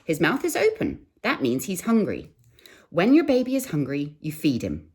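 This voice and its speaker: A woman's voice: low-pitched, huge pitch swings, moderate pace, slightly rough, heavily acted. A woman voicing a cheerful, doting father bird in a read-aloud picture book, firm and reassuring. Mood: insistent.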